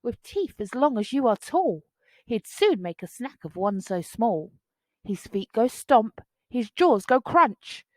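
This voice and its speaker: A woman's voice: a little high, big pitch swings, slow pace, no echo, exaggerated voice. A woman voicing a stern mother dinosaur in a storybook, giving dramatic, cautioning warnings. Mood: ominous warning.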